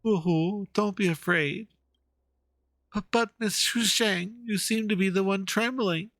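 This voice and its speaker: A man's voice: sits high, takes it slowly, a bit bright, dry recording, pitched above the performer's own voice, full character voice. A man voicing a timid, trembling young ghost hunter who stammers in a video game. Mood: nervous. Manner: stammering, hesitant.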